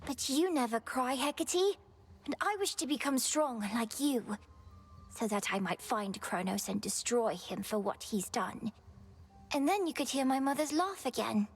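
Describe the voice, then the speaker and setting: An actress playing an earnest, determined young underworld princess in a video game, spirited, with formal old-fashioned phrasing. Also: female voice, a little high, lively intonation, a little slow, husky, soft background music, exaggerated voice.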